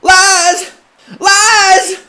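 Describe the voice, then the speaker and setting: A man's voice: very high voice, little pitch movement, pushed and bright, pitched above the performer's own voice, heavily acted. A man playing a panicking revival preacher in an audiobook, crying out hysterically in a sobbing shriek. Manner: hysterical, drawn-out cries. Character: frantic, wailing revival preacher, exposed and panicking.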